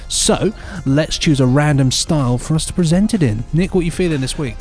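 A man's voice: a little high, a little fast, a little mellow, soft background music. A man co-hosting a podcast, chatting in a rambling, playful, ad-libbing way. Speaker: podcast co-host. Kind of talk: jokey casual chat.

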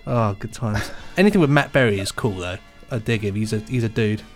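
A man's voice: lowish voice, brisk, soft background music. A British man co-hosting a podcast in casual comic chat, rambling along with jokey enthusiasm. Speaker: podcast co-host.

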